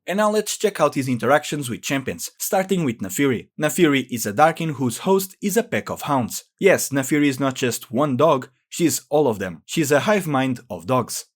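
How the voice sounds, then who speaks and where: A man's voice: mid-range voice, sing-song, moderate pace, hardly any pauses. A man presenting game lore in conversational scripted narration, explaining things with informal asides.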